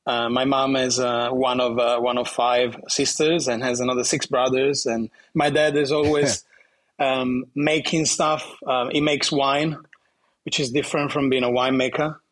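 A man from southern Italy speaking English with an Italian accent as an interview guest, talking in a halting way with many restarts and small laughs. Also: mid-range voice, flat delivery, a bit bright, poor audio. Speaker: guest bartender. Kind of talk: casual interview chat.

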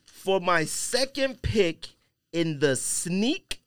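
A man's voice: sits high, very animated, drawn-out words, a bit bright, clear, resonant tone, dry recording, clean studio sound. A man hosting a casual sneaker podcast, teasing his friends in slangy banter. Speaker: podcast host.

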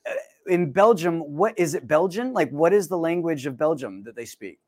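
Man, from the United States, podcast host, upbeat, joking, slightly high voice. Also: animated, moderate pace, a little mellow. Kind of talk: casual podcast chat and read outro.

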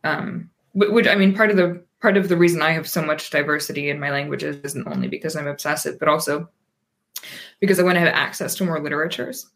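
A woman in her thirties from Pennsylvania, talking as a guest in a relaxed interview. Her voice sits fairly low, and she thinks aloud as she goes, full of ums, restarts and trailing asides. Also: quick pace. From Pennsylvania, USA. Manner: thoughtful, rambling, frequent ums and restarts. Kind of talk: casual interview conversation.

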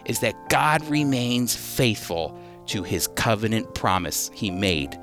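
A man's voice: lowish voice, very animated, slow pace, music in the background. A man preaching as a Bible teacher, emphatic, posing rhetorical questions and repeating phrases for weight. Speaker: Bible teacher and series host. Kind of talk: sermon, expository preaching.

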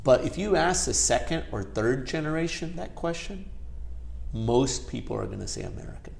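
A man's voice: medium pitch, animated, moderate pace, gravelly, a little mellow, a little hiss or hum. Middle-aged man born in India, Gujarati native speaker; chatty interview guest, keeps tossing in "you know". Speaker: guest, company founder. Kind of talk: casual interview conversation.

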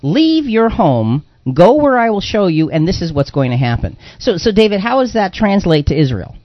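A man with a fairly high voice hosts a Bible discussion in a conversational way. He explains step by step and repeats phrases for emphasis. The sound is dry and a little dull. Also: big pitch swings, moderate pace, no room sound. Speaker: radio program host. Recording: dull, lo-fi broadcast audio.

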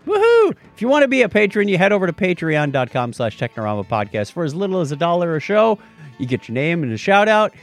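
A man's voice: high-pitched, huge pitch swings, fast, faint music behind. A man hosting a podcast in a casual, playful, joking style. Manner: jokey, playful. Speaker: podcast host. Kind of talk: casual chat, listener shout-outs.

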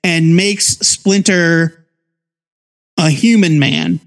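A man with a notably high voice co-hosts a casual review podcast. He explains things in detail, in an easy conversational way with a geeky bent. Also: extremely slow. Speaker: co-host of a comics review podcast.

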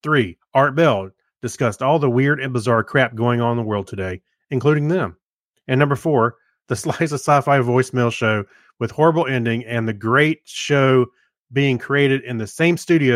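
A man's voice: mid-range voice, lively intonation, moderate pace, fairly bright tone, no room sound, studio quality. A man co-hosting a podcast, chatting casually and reading items aloud. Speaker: podcast co-host.

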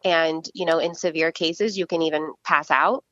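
A woman's voice: low voice, moderate pace, dry recording, lo-fi sound. Explaining woman speech pathologist, dry sound. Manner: explanatory, steady, many fillers. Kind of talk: casual interview answers.

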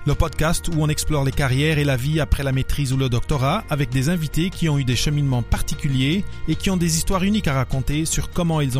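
A man hosting a podcast, introducing the show and his guest and asking questions in a friendly, enthusiastic way. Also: fairly high pitch. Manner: enthusiastic, friendly, some fillers. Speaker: podcast host.

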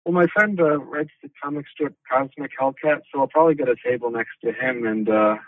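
A man's voice: medium pitch, brisk, no echo. A man answering interview questions over a call, hesitant, with lots of restarts and fillers. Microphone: phone call. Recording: tinny, distant phone line.

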